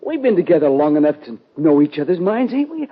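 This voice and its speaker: A man playing a delirious young cowboy in a 1950s radio western, rambling and halting in rustic speech. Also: fairly high pitch, sing-song, slightly rough, highs cut off. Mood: delirious, agitated. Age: young adult. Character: delirious young cowboy, sun-struck and pleading. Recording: old radio recording with hiss and clicks.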